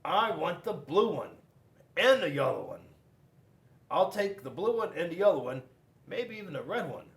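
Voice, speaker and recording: A man voicing a greedy, excitable, easily perplexed skunk in a storybook, with emphatic drawn-out exclamations. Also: on the high side, gravelly, full character voice. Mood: eager.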